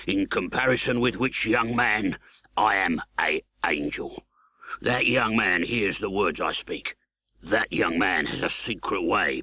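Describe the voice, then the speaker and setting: A menacing escaped convict from a classic storybook. The voice is low and harsh, with a rasping edge and rough English working-class speech. It is forceful and threatening, in a dry recording. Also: male voice, no room sound, exaggerated voice. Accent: English working-class dialect. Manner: threatening, growling, uneducated speech. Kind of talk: audiobook character acting.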